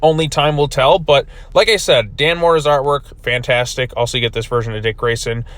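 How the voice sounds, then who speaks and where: A man's voice: medium pitch, a little fast, fairly bright tone. A man giving a casual video review of a comic book, rambling with many fillers, his voice still recovering from being lost.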